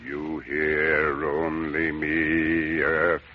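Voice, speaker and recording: An actor playing a hungry alien monster in an old radio horror play, giving slow, hypnotic commands. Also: male voice, very deep voice, monotone, heavily acted. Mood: hypnotic menace. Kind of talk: 1940s radio horror acting. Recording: muffled, noisy old radio recording.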